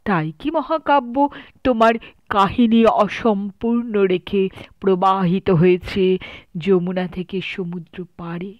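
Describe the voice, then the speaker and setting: Slow woman reciting, muffled recording. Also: mid-range voice, fairly even intonation, dry recording. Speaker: poetry reciter. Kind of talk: dramatic literary poem recitation. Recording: dull lo-fi, slightly muffled recording.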